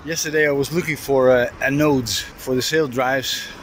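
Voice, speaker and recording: A man's voice: steady tone, moderate pace, fairly bright tone. Middle-aged man vlogging casually over outdoor noise. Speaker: sailing vlogger. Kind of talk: casual vlog chat and DIY explanation. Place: outdoors at a harbor boatyard and on a boat deck. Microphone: handheld camera at arm's length. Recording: noisy outdoor recording with ambient rumble.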